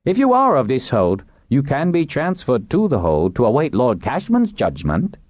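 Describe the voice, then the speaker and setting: A man's voice: medium pitch, wildly swooping pitch, moderate pace, a little mellow, pitched above the performer's own voice. A man voicing a confident male official in a storybook, speaking with dry formal authority. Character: brisk, confident male official addressing captives. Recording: roomy, dull muffled recording.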